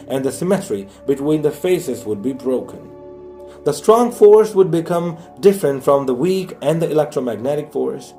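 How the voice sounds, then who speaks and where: A man reading a science audiobook aloud in a measured, explanatory way. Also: on the high side, dark tone. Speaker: audiobook reader. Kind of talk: scripted narration, reading a science book aloud.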